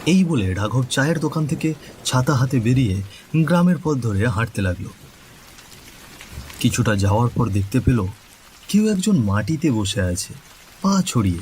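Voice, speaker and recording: A man's voice: mid-range voice, sing-song, normal speed, long pauses, a little mellow, low-quality recording. A man narrating a scripted cartoon story as its storyteller. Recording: faint background noise.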